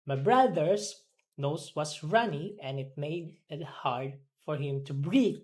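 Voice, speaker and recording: Female language learner, slightly low pitch, slow, careful repeat-after-me delivery. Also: a little mellow. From Philippines. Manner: careful, halting repetition. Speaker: English pronunciation student. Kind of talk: repeating drill words and reading practice sentences.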